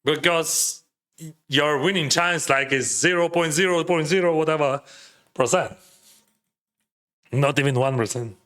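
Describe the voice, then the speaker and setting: A man's voice: a little high, unhurried, pauses often, fairly bright tone. A man from Ukraine with a Ukrainian accent and Russian as his first language, chatting casually on a poker live stream, advising viewers with lots of "like" and "uh". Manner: chatty, advising, heavy use of "like" and "uh". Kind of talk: casual live-stream commentary, answering chat.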